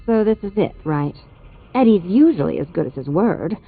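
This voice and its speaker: A woman's voice: fairly low pitch, big pitch swings, normal speed, slightly rough, narrow, tinny audio, soft background music, full character voice. An actress playing a cool, taunting female thief with a sardonic, wary manner, in a video game style.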